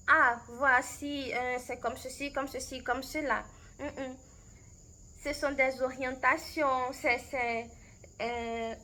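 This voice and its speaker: Beninese French woman, language coach type; high, bright voice, slow and reflective, lots of hesitations and restarts. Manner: thoughtful, unhurried, with frequent hesitations and restarts. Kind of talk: answering interview questions to camera.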